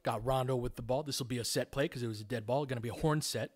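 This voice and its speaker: A man's voice: mid-range voice, fast. Man calling live basketball play-by-play. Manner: clipped phrases, analytical, informal. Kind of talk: live play-by-play commentary with tactical analysis.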